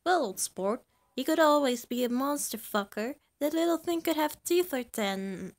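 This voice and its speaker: A woman's voice: sits high, animated, normal speed. A woman voicing a cocky, crude, teasing man with a drawl in an amateur comic dub. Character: cocky teasing adult man, crude, calls friend old sport.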